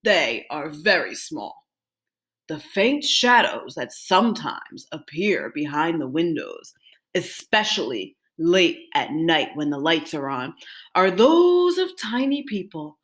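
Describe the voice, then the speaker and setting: A woman acts out an old storybook grandfather with a gentle, mysterious temper. The telling is suspenseful and drawn out, with lively swings of pitch. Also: slow pace, full character voice.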